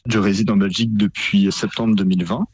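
A man's voice: lowish voice, fairly even intonation, brisk, a little mellow, slightly rough, muffled recording. A young man from France answering interview questions about his move abroad, earnest and explanatory, often saying "en fait". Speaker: interviewee, editor of an online publication.

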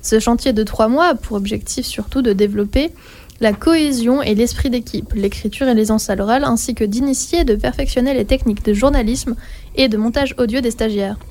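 A woman's voice: fairly high pitch, brisk, darkish tone. A woman of twenty-three co-hosting a radio show, asking trainees questions and reading a presentation. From France. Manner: asks questions, reads a presentation.

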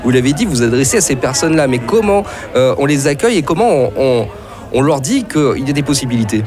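A male radio reporter asks his questions in a steady, measured way. His voice has a middling pitch. Music plays clearly behind him throughout the report. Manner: measured questioning, occasional fillers. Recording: music playing underneath.

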